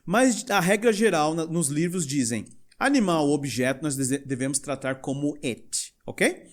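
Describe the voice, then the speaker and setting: A young male English teacher with a Brazilian Portuguese accent, explaining grammar in a lively way and reading example sentences slowly. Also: on the high side. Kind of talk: recorded language lesson.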